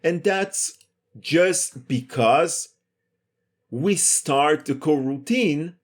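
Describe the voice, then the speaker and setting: A man giving a step-by-step screencast programming lesson in a methodical, explanatory way. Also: mid-range voice. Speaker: programming tutorial instructor.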